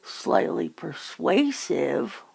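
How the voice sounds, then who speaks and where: A woman speaks in a very gravelly, fry-laden voice at an unhurried pace. She muses and rambles through a casual ASMR chat, loose and informal in her phrasing. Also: lowish voice, very animated, a crowd in the background. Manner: musing, rambling, swears casually. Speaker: ASMR host.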